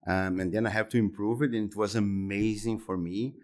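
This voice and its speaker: A man in his fifties from Brazil, speaking English with a Brazilian Portuguese accent as an interview guest, talking haltingly and often repeating words and restarting phrases. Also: on the low side, steady tone, darkish tone. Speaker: interview guest, exhibition center executive. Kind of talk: conversational interview answers.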